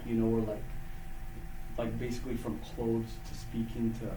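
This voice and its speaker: A young man from Utah chats as a guest, his voice at a middling pitch and in an easygoing, talkative manner. He sits back from the mic, so the sound is roomy, with a faint hiss of background noise. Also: moderate pace. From Utah, United States. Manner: laughing, relaxed, many fillers. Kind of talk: casual interview chat. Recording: echoey room, mic at a distance.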